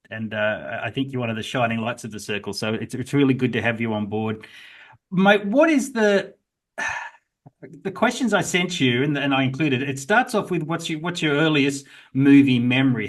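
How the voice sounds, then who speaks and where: A man, from Sydney, interviewing a guest in an encouraging, chatty way. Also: mid-range voice. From Sydney, Australia. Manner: warm, encouraging, animated questions. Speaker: interviewer, critics' group president. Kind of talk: casual interview chat.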